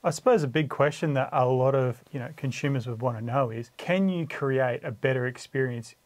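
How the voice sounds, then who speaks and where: A male interviewer with an Australian accent and a medium-pitched voice. He chats casually as he puts his questions, and the sound is dry with almost no room echo. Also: a little fast. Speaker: interviewer, host.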